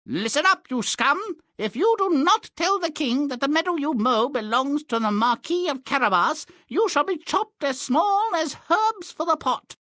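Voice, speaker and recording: An actor voicing a sly, flattering, bossy cat in boots in a fairy-tale video game. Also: male voice, very high-pitched, measured pace, no room sound, studio quality, full character voice. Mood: bossy, threatening.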